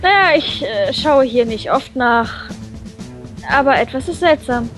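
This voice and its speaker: A woman's voice: high-pitched, lively intonation, unhurried, a bit bright. A playful woman reading a visual novel expressively for a let's play, with casual banter in between. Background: background game music.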